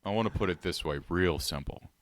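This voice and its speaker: A man's voice: low voice, fairly quick. A man from the United States co-hosting a casual podcast, talking in a joking way with sarcastic asides. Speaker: podcast co-host.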